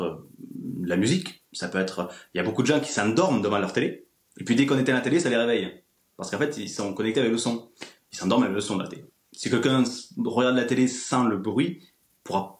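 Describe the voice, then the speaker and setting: Man, twenties, rapid casual podcast talk, explanatory, roomy echo. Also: medium pitch, expressive. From France, small village. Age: 20s. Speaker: interview guest, ASMR video creator. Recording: roomy, echoey sound.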